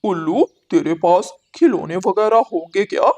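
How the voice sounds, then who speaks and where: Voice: medium pitch, lively intonation, a little slow, darkish tone. A performer voicing a stingy, bossy male crow, a husband and father, in a moral-story cartoon, with a curt manner. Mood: businesslike.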